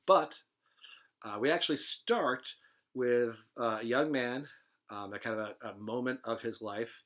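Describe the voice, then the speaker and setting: A man speaks as a bookstore presenter introducing a novel. His manner is thoughtful and hesitant, with halting phrases. His voice sits at an average pitch for a man, and the style is an informal talk. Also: fairly bright tone.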